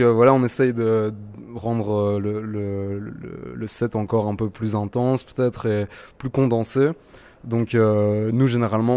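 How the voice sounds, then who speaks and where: A man's voice: on the low side, dark tone. A young man in his twenties from Belgium, a musician answering festival interview questions with many hesitations and restarts. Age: 20s.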